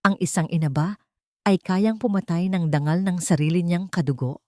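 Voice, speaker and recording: A woman narrator voicing a wronged young woman who speaks with calm, weighty, bitter accusation. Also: fairly low pitch, normal speed, dry recording, studio quality, natural voice. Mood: bitter accusing.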